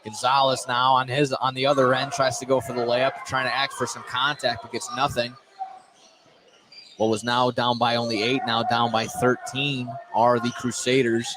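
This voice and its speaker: A man gives live radio play-by-play of a basketball game. His voice sits at a middle pitch and he is excitable about the action. Clear background noise from an indoor gym surrounds him. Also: normal speed. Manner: excitable, exclaims at big plays. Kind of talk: live radio sports commentary. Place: indoor high school gymnasium, courtside. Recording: noisy live gym sound. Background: loud gym ambience.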